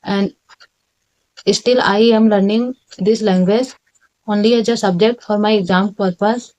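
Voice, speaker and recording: A young woman from Chhattisgarh with an Indian accent, whose first language is Chhattisgarhi, talking in halting learner's English at home, often correcting herself and adding "right?". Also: medium pitch, steady tone, mellow, lo-fi sound. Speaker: English learner vlogger and tutor. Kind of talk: unscripted personal talk. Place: home in a village.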